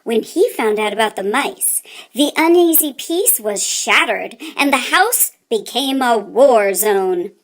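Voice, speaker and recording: A woman reading a children's story aloud in an expressive, deliberate way, with theatrical emphasis. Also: fairly high pitch.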